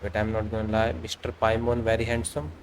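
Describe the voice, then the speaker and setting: A man's voice: lowish voice, completely flat delivery, fairly quick, background noise. A man livestreaming a game with informal, casual reaction commentary, chatting as he plays.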